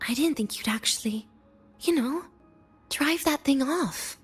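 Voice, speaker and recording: A weary young woman in a video game, her voice fairly high and breathy. She speaks languidly, with slow, guarded, teasing cynicism that often sinks toward a whisper. Music plays behind her. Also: animated. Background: background music.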